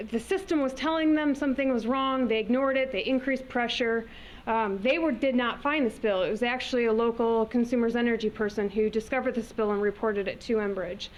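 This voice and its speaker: A woman giving a brisk, earnest public presentation in a loud voice. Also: fairly high pitch, rough recording. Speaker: guest speaker on pipelines.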